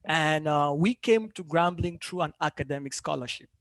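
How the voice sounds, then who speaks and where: Measured Cameroonian-born man speaking on a panel. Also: a little high, dry recording, clean studio sound. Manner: measured, pausing with many ums. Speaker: alumni panelist. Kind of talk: panel introduction.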